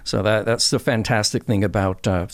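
A man's voice: lowish voice, fairly even intonation, moderate pace, dry recording. A man speaking as a podcast guest, conversational and emphatic, repeating phrases for emphasis. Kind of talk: conversational commentary.

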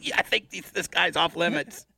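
Radio host, male, forceful bright tone, close dry sound, crude rambling comic banter. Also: high-pitched, moderate pace, gravelly, slightly rough, no room sound. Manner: crude, rambling, repeats himself. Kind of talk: rowdy comedic banter.

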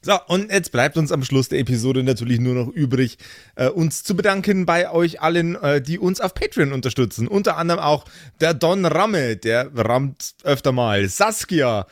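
A man's voice: on the high side, lively intonation, moderate pace, dry recording, clean studio sound. A man hosting a podcast casually, jokey and playful as he reads out names and addresses listeners. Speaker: podcast host and player of an improvised tabletop role-playing show. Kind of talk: casual podcast outro, reading out supporter names.

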